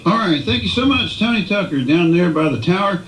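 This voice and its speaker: A man hosts on radio with folksy, emphatic announcing. His high voice swings widely in pitch and rings with noticeable echo. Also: lively intonation, brisk, a bit bright. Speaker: radio host. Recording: echoey room.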